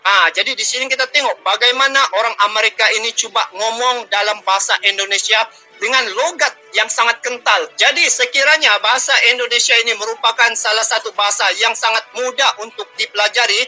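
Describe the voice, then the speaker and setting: A man's voice: very high-pitched, fairly even intonation, a little fast, sharp tone, soft background music, poor audio. A man with a Malaysian Malay accent hosting a reaction video, chatting casually with frequent fillers. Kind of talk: casual commentary.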